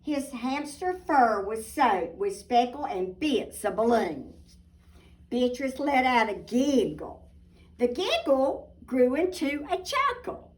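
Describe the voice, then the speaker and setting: A woman reads a picture book to children in a lively, swooping voice. She takes it very slowly, pausing for drama and adding little asides to her listeners. She sounds set back from the microphone. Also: high-pitched. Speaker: storybook reader. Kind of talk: animated read-aloud of a children's picture book. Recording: voice distant from the mic.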